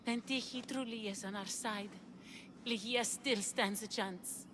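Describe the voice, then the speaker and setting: A woman's voice: fairly high pitch, steady tone, slow pace, heavy background noise. A woman playing a devoted, anxious lover in a video game, earnest and urgent. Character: devoted, anxious woman searching for her lost love. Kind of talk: dramatic video game acting.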